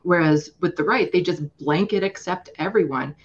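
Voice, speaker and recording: Woman, lowish voice, muffled lo-fi call sound, earnest, emphatic, casual political talk. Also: normal speed.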